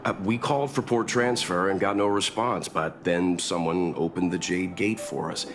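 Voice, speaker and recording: A man voice actor playing a calm, mature gentleman leading travelers in a video game, measured and earnest as he explains. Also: low-pitched, a little hiss or hum, natural voice.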